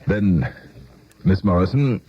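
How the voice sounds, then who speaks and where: An actor playing a suave, courtly, smooth-talking middle-aged politician in a radio drama. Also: male voice, deep voice, lively intonation, slow pace, no echo, heavily acted. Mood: expansive, obliging. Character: suave, influential male politician, once a teacher. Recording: muffled vintage radio drama, music behind.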